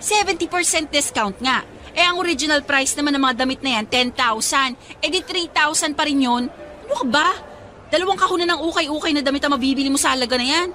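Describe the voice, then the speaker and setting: A woman's voice: high-pitched, fast, sharp tone, a little hiss or hum. A woman with a Filipino accent playing a bossy, protective young best friend in a radio drama, scolding and insistent. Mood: exasperated.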